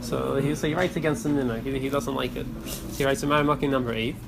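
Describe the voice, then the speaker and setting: A man leading a religious study class, haltingly reading and explaining texts, repeating words as he goes in informal discussion. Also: medium pitch, fairly even intonation, vocal fry. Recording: noisy room recording.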